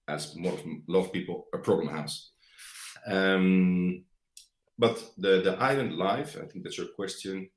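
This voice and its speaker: A man from the Netherlands with a Dutch accent, a guest speaking English haltingly, often pausing to search for words. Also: deep voice, reverberant, rough recording. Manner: hesitant, searching for words, many fillers. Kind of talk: conversational interview.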